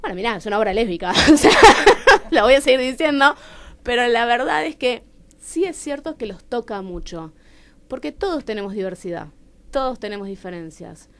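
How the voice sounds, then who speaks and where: A woman with a Rioplatense accent from Argentina speaks in a fairly high, very clear and smooth voice. She tells stories conversationally, in the expressive way of a radio guest who acts out what people said. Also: normal speed. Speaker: playwright and radio show guest. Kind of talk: conversational personal storytelling in a radio interview. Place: radio studio.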